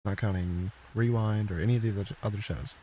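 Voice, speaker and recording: A man comments casually in conversation. His voice is on the low side, with faint noise behind it. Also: fairly even intonation, fast, mellow.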